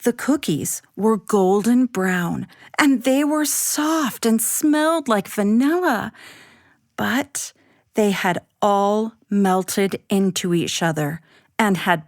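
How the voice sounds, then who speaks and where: A woman narrating a scripted children's bedtime story with expressive, deliberate, simple phrasing. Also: mid-range voice, no room sound, clean studio sound.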